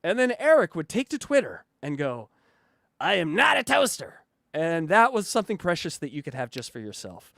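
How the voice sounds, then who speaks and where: A male podcast host with a high, lively voice, chatting casually and stumbling over fillers with frequent giggles. The recording is dry and close, with no room sound. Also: vocal fry, no room sound. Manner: halting, filler-heavy, giggly. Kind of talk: casual comedic podcast chat.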